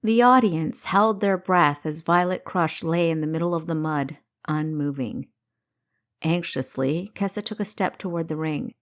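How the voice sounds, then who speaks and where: Woman, lowish pitch, audiobook narrator, scripted action fiction, dramatic delivery, deliberate phrasing, careful enunciation.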